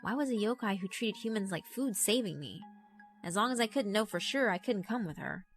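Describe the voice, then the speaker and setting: A woman's voice: medium pitch, lively intonation, a little fast. A woman reading scripted first-person narration for a horror visual novel. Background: background music.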